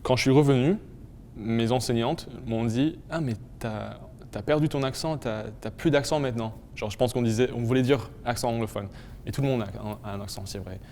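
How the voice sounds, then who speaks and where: A young man with a Manitoban French accent, telling stories in a lively way, repeating words and slipping into English. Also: medium pitch, brisk, a little hiss or hum. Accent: Manitoban French with English influence. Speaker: interviewee. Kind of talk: testimonial talk.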